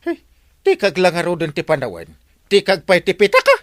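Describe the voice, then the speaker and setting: An actor playing a lazy, sleepy village captain in a comic radio drama, stammering and evasive with an Ilocano accent. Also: male voice, sits high, huge pitch swings, a little fast, fairly bright tone, no room sound, clean studio sound, full character voice. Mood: whiny, evasive. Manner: stammering, full of "eh" and "ay".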